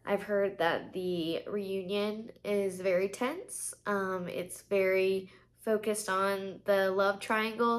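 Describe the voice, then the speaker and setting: A woman's voice: mid-range voice, a bit bright. A woman in her thirties hosting a recap show to camera, talking casually and conversationally with plenty of informal opinion.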